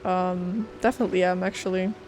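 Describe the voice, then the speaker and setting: A woman's voice: fairly low pitch, flat delivery, a little fast, a little mellow. A woman streaming a video game, giving casual, informal reactions and commentary as she plays. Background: faint game music.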